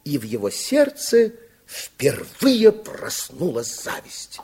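A man's voice: sits high, wildly swooping pitch, slow, gravelly, darkish tone, slightly rough, heavily acted. A man narrating a radio fairy tale with theatrical, dramatic storytelling.

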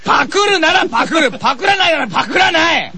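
A man with a very high, bright voice trades comic retorts as the junior radio co-host. He plays the exasperated straight man, his pitch leaping around as he complains and often shouts. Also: lively intonation, fast talker, gravelly. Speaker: voice actor and radio comedy co-host, the junior partner.

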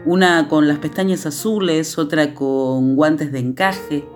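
A radio drama storyteller with a very low female voice. She narrates in measured, literary phrases, with clear guitar music behind her. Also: steady tone, darkish tone. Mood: measured, descriptive. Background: plucked guitar music.

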